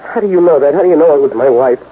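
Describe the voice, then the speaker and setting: An actor playing a desperate, distraught young American husband, intense and halting, in 1940s radio acting style. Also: male voice, a little high, lively intonation, lightly acted. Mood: grief-stricken. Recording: tinny, noisy 1940s radio recording.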